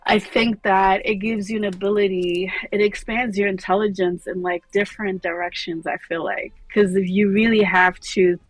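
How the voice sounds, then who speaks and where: A woman's voice: medium pitch, steady tone, moderate pace, hardly any pauses, low-quality recording. A woman singer speaking as a guest in a casual interview, thoughtful and friendly, laughing often. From Germany.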